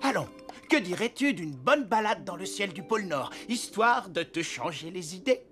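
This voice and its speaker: An actor voicing an experienced, reassuring elder of the North Pole in a cartoon, speaking calmly over music. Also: medium pitch, normal speed, lightly acted. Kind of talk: French animated series voice acting.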